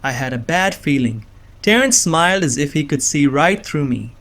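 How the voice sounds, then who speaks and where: A man's voice: a little high, animated, normal speed, a bit bright. A man playing an uneasy, earnest young man who narrates his own suspense story in a visual novel. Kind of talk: first-person scripted visual novel narration and dialogue.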